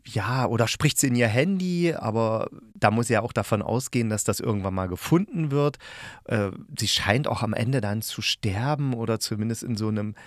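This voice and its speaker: A man's voice: fairly low pitch, no room sound, clean studio sound. A man hosting a podcast, reviewing in a casual, loose and meandering way as if thinking aloud. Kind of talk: casual spoken radio-play review.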